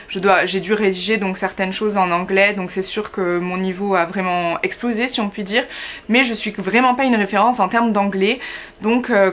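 A woman's voice: medium pitch, fairly bright tone. A young French woman in her twenties, chatting casually to camera from her bedroom, with lots of fillers and wry asides. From France. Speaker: vlogger, master's student. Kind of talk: casual vlog chat. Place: small bedroom indoors.

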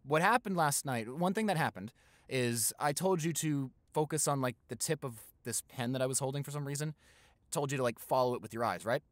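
A man's voice: medium pitch, fairly quick, no room sound, close to an everyday voice. A young man playing a tender, playful, smitten new boyfriend in an intimate roleplay, talking in a conversational, hesitant, affectionate way. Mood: playful, caring. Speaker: roleplay audio performer.

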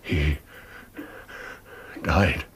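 An actor playing a weak, dying old father in a radio drama, halting and gasping, grave and burdened with guilt. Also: fairly bright tone, a little hiss or hum. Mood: grave, confiding. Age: older. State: weak, gasping on his deathbed.

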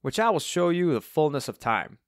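A man voicing a proud, cheery old musician with a Cockney accent and an excitable manner in an audiobook. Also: a little high, normal speed, dry recording, clean studio sound, voice pushed up. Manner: chirpy, boastful, excitable. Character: cheery old Cockney double-bass player, proud and eager.

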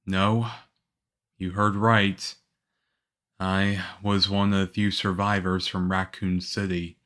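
A man playing a calm, reassuring young government agent in an ASMR roleplay, speaking softly. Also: deep voice. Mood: reflective. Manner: soft-spoken, unhurried, reassuring.

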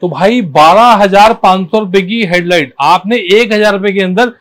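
A man giving an informal, emphatic and repetitive sales pitch as a shop seller showing products. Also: normal speed, fairly bright tone. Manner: emphatic, repetitive, addressing viewers as brother. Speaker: car accessory shop seller presenting products.

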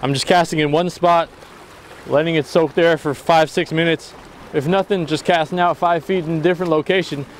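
A young man vlogging while fishing from a rocky sea jetty, explaining his rig casually and exclaiming loudly when fish bite. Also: a little high, fairly even intonation, a little fast. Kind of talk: casual solo vlog narration. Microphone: action camera, near and far. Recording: outdoor wind and water noise.

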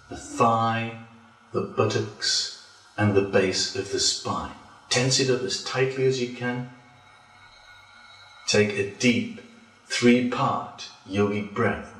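Guy doing guided yoga relaxation, really slow and deliberate, echoey room, kinda far from the mic. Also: medium pitch, a bit bright. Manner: slow, deliberate, pausing between phrases. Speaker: yoga instructor. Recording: roomy echo, distant from mic.

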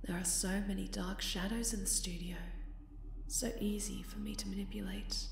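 A woman playing a possessive, lovesick supervillainess in a dramatic ASMR roleplay, with silky threats and breathy half-whispers. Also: medium pitch, steady tone, moderate pace, echoey room, distant mic, slight background noise, close to an everyday voice. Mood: menacing. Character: menacing, lovesick winged supervillainess, possessive and theatrical.

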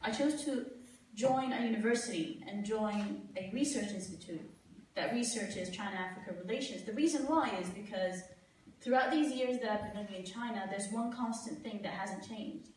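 A woman's voice: medium pitch, normal speed. A young woman from Somalia tells stories on a festival panel and acts out the people she quotes. She sounds far from the mic, and her voice echoes around a large hall with a faint hiss underneath. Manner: animated storyteller, quoting others. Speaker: panel speaker. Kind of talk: panel discussion. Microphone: far camera.

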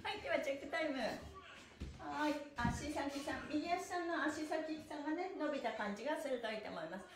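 A woman's voice: high-pitched, fairly quick. A middle-aged woman leading a live stretching class with cheerful, polite and playful coaching, chatting and counting as she goes. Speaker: stretching instructor and pantomime performer. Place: small room indoors, on an exercise mat. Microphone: camera or phone a short distance away. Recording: roomy, echoey distant device mic.

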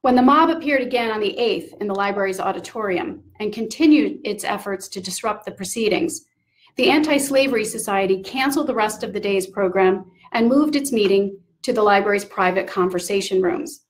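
A woman presenting scripted historical narration in a formal, deliberate way. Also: medium pitch, low-quality recording.

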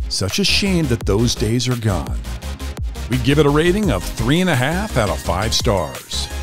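Man narrating with lively, swinging pitch. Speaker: product reviewer and channel host. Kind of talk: scripted voice-over review narration.

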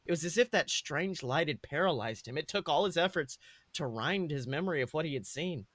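A man with a slightly high voice narrates a story as a podcast host. He sounds amused by what he reads. The recording is close and dry. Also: expressive, a little fast, a bit bright, no room sound. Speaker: podcast host reading fan fiction aloud.

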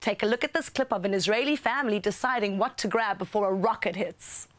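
A young woman hosting a news magazine show, reading a script briskly with wry asides in a studio. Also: mid-range voice, heavy background noise. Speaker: show host. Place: studio with blue screen.

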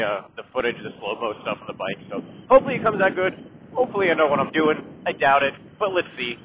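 A middle-aged man riding a motorcycle, chatting casually and joking about himself, with plenty of fillers as he goes. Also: a little high. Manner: rambling, joking, lots of fillers. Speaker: motorcycle vlogger. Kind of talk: casual self-deprecating chat while riding. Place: outdoors on a moving motorcycle. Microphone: helmet mic while riding. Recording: tinny muffled helmet mic with road noise.

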